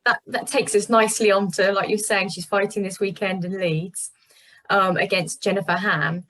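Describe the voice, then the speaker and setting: A woman's voice: mid-range voice, steady tone, moderate pace, fairly bright tone, poor audio. A British woman conducting a casual interview, putting questions in a tentative, conversational way. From Cornwall, England.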